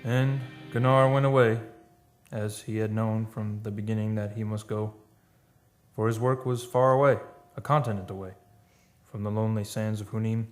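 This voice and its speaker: A man's voice: lowish voice, steady tone, natural voice. A man playing a grave, loyal friend and counsellor who also narrates a radio play in solemn, literary style. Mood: wistful narration. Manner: solemn, measured literary storytelling. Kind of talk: old-time radio play acting and narration. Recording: music under the voice.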